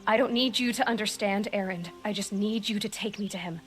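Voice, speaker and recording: A woman's voice: medium pitch, a little fast, faint music behind, natural voice. A woman playing a resolute young huntress. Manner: terse, resolute, commanding. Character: determined young woman hunter seeking answers. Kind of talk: video game cutscene acting.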